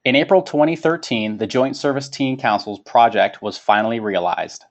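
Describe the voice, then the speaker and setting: A man's voice: medium pitch, normal speed. A man reading a formal scripted webinar presentation as its presenter. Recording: dull lo-fi webinar audio.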